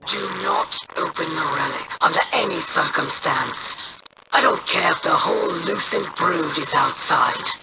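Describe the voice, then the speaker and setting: A woman's voice: deep voice, animated, unhurried. Ruthless alien pirate queen. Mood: menacing. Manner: imperious, threatening. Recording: loud background noise.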